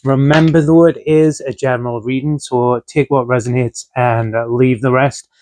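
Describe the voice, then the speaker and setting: A man talking through a casual tarot reading, his voice still rough from a chest infection, relaxed and filled with ums and uhs. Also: mid-range voice, normal speed, a little hiss or hum. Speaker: tarot reader.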